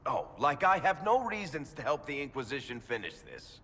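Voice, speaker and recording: Animated sardonic rogue, echoing hall. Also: male voice, medium pitch, normal speed. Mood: bitter, sardonic. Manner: indignant, sardonic. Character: defiant, loyal rogue storyteller in fantasy game. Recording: echoing hall with background noise.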